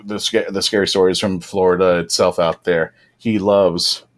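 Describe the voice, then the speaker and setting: A man's voice: sits low, fairly even intonation, moderate pace. A man speaking as a panelist in casual, informal fan chat.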